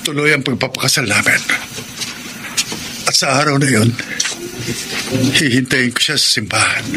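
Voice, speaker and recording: A man acts out a lovelorn, forgiving young groom in a Filipino-accented radio drama. His medium-pitched voice is earnest and emphatic, stretching words for drama. Clear noise sits behind him on the recording. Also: moderate pace, natural voice. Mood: wounded devotion. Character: devoted, forgiving young groom, wounded and pleading. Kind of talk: Tagalog radio drama acting.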